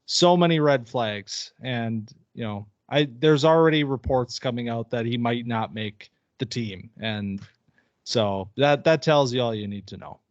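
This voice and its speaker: A man's voice: mid-range voice, a little slow, dry recording, muffled recording. A man co-hosting a casual sports podcast, chatty and upbeat, often restarting his phrases. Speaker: podcast co-host.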